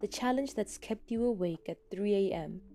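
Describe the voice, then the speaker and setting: A woman reading scripted fan fiction as a dramatic narrator, with expressive, deliberate phrasing. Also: medium pitch, soft background music.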